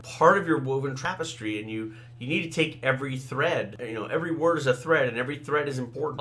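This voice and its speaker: A man's voice: on the high side, a bit bright. A middle-aged man whose first language is Tamil, hosting a casual solo talk about writing and editing, with frequent fillers and "right?" asides. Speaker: podcast host, author and game designer.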